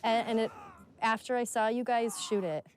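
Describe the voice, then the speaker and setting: A woman's voice: a little high, steady tone, normal speed, a little hiss or hum. A woman chatting casually as a game actress giving commentary. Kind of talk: casual chat about acting.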